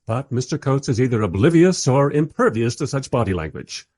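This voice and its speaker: An actor playing a long-suffering documentary director in a radio play, narrating in a dry, sardonic, conversational and diplomatic way. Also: male voice, mid-range voice, very animated, a little fast, darkish tone, no room sound. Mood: wry. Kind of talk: first-person radio play narration and dialogue.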